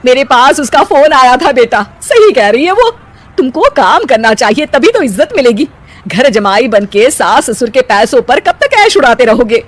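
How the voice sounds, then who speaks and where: A voice actor playing a fond middle-aged mother in a cartoon, doting on her son while gently scolding him. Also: high voice, very animated, moderate pace. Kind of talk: cartoon voice acting.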